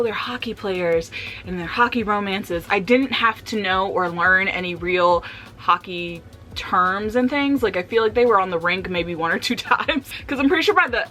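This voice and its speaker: A woman chatting casually and candidly about books for a vlog, with an animated, slangy, giggly way of talking. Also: medium pitch. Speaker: book reviewer vlogger.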